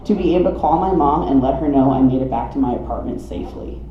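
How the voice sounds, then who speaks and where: A man's voice: medium pitch, fast talker. A man from the southeastern United States reads his own humorous memoir to a live room, with a dramatic, wry comic delivery. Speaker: storyteller reading own memoir. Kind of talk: live reading of a humorous personal narrative. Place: coffee shop reading event. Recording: muffled roomy live recording, some noise.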